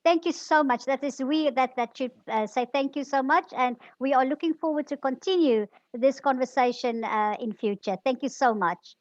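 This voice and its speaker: High-voiced woman hosting a webinar call. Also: moderate pace, few pauses, no room sound, dull audio. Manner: effusive, repeatedly thankful. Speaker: webinar host. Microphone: video call.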